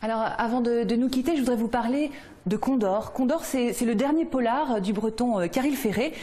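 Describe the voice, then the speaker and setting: A woman, presenting a regional TV culture segment, lively, with some hesitant fillers. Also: a little high, a little fast.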